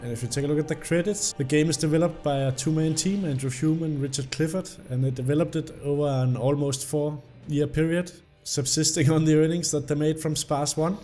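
A young man, slightly hoarse from illness, commenting on a game and reading its story text aloud. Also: on the high side, a little fast, hardly any pauses, soft background music. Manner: reads the story text aloud in an even narrating tone. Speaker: gameplay video host. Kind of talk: casual gameplay commentary.

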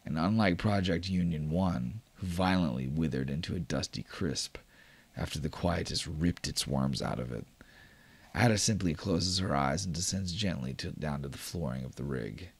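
A man's voice: very deep voice, animated, normal speed, vocal fry, husky. A man running a tabletop roleplaying game as game master, narrating vividly with frequent fillers like "like.".

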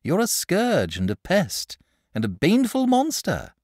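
Earnest young boy, fretful and lecturing, lifted pitch, a man reading. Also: huge pitch swings, a little slow, a little mellow, no room sound, studio quality, exaggerated voice.

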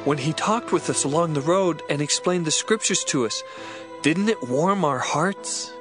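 A man's voice: a little high, a little slow, music in the background, natural voice. A man playing an earnest disciple in an audio Bible drama, speaking emphatically. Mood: moved. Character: earnest, amazed male disciple on the road.

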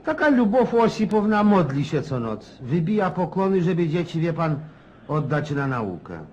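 An actor playing a garrulous, cynical old Cossack in a radio play, rough-edged and folksy, prodding his listener with questions. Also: fairly high pitch, sing-song, normal speed, darkish tone, dull audio, slight background noise. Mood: folksy grumbling.

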